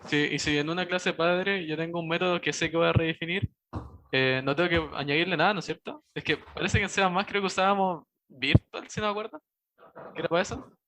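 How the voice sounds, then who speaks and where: A man's voice: a little high, a little fast, pushed and bright. A male student in an online class asking his lecturer a tentative question, unsure of what he remembers.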